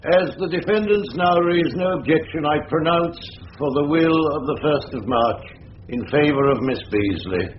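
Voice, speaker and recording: A measured, formal English judge, played by a man, rules in a fairly high voice. It is a radio drama recording, muffled with faint noise. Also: expressive, natural voice. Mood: formal, unruffled. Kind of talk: British radio drama acting.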